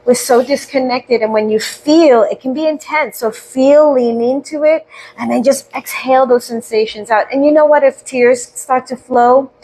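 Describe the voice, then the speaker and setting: A woman leading a guided breathing meditation, speaking slowly and soothingly with gentle questions and audible sighs. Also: high-pitched, a little hiss or hum, low-quality recording.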